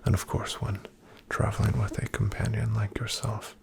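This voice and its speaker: A man in an ASMR roleplay voicing a calm, careful paladin travel companion, softly whispering to the listener. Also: on the low side, moderate pace, gravelly. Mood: calm hushed. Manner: soft, gentle whispering to the listener.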